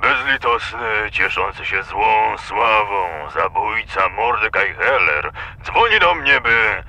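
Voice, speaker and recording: Man voicing a sharp, mocking gangster boss. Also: on the low side, lively intonation, slow pace, heavily acted. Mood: mocking disbelief. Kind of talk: animated cartoon dub acting.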